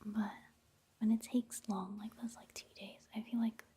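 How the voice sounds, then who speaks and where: A woman gives ASMR game commentary in a very breathy, whispery voice. She takes her time, murmuring gently and unhurriedly in an even, mellow tone. The delivery is soft and rambling throughout. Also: medium pitch, a little slow. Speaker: ASMR gaming video host.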